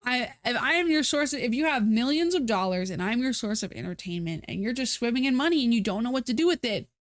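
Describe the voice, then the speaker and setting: A woman's voice: on the high side, lively intonation, fast, dry recording, clean studio sound. A woman streaming live, chatting casually to her viewers with a stuffy nose, rambling through fillers and restarts. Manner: rambling, self-mocking, many fillers and restarts.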